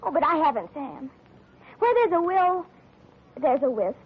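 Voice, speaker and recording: Devoted secretary, 1940s radio drama, very high voice, gushing and tender, muffled old broadcast. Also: female voice, big pitch swings, a little slow. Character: devoted young female secretary, soft-hearted and sentimental.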